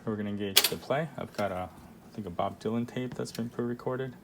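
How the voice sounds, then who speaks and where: A man presenting a casual product demo in a halting, unscripted, explanatory way. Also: lowish voice, heavy background noise. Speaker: presenter demonstrating vintage audio gear.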